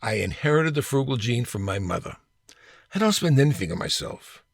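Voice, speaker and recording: Older guy reading his funny essay, dry close sound, wry and deliberate, leans on key words. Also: medium pitch, animated, gravelly, breathy edge, dry recording. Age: 60s or older. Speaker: storyteller reading own essay. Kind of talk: humorous personal essay read aloud.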